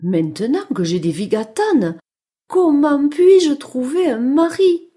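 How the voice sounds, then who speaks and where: A woman's voice: high voice, sing-song, slow, background noise, voice pushed up, exaggerated voice. A woman voicing a sweet, polite, eager little female mouse with an exclamatory, sing-song delivery in a children's story. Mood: hopeful, curious.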